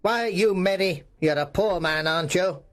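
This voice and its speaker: A man's voice: sits high, moderate pace, voice pushed up, close to an everyday voice. A man voicing a mean, cold old miser in a storybook reading, with a hard, strained voice and a curt, scornful manner.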